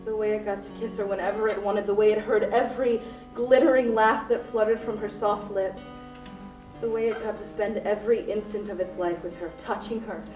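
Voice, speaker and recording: A woman's voice: mid-range voice, little pitch movement, brisk, a little mellow, close to an everyday voice. A woman playing a distraught, grieving widow who has taken hostages, in a dramatic, poetic radio play performance. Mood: tender, wistful. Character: distraught grieving widow, armed hostage-taker telling her love story. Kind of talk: student radio play acting. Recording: roomy distant stage recording with music.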